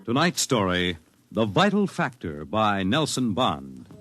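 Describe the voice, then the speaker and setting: A man's voice: lowish voice, huge pitch swings, unhurried. A man delivering scripted narration as a 1950s radio announcer. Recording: vintage radio broadcast, music behind.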